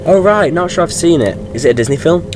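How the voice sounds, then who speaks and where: A man's voice: on the high side, expressive, fast, background noise, close to an everyday voice. A man playing a jaunty, impatient, eccentric time-travelling adventurer in a sci-fi audio drama. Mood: breezy.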